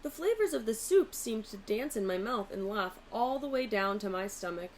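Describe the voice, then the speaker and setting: Woman, mid-range pitch, children's story narration, expressive, deliberate, faint noise behind. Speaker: teacher reading a picture book aloud.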